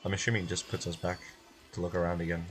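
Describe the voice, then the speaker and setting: A man streaming a game, giving casual, low-key commentary as he thinks aloud. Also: little pitch movement, rapid-fire, a little hiss or hum.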